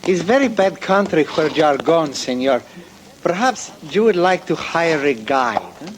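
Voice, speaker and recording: An actor playing a sly older Mexican horse renter with a Mexican Spanish accent, in 1940s radio drama style, speaking in a wheedling, insinuating way. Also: male voice, on the high side, very animated, unhurried, background noise. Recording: old radio broadcast with music.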